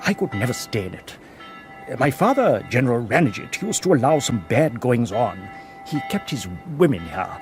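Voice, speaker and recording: An actor playing a genial, proud older general in a radio drama, chuckling and grandly courteous. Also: male voice, mid-range voice, very animated, moderate pace, soft background music.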